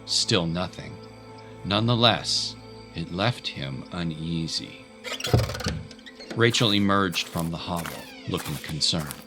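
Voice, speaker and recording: A man narrating a scripted audio drama as a dramatic storyteller. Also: sits low. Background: music.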